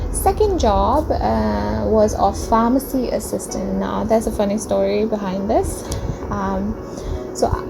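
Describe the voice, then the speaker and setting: A woman's voice: medium pitch, vocal fry, rough recording. A young woman, English not her first language, telling a personal story to camera in a chatty way with many ums and uhs, in a small room. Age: young adult. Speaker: vlogger.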